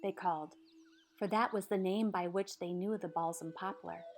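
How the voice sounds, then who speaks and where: A woman reading a children's picture book aloud in a measured, dramatic storytelling manner. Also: fairly low pitch, fairly even intonation, darkish tone, soft background music. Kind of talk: expressive scripted storybook narration.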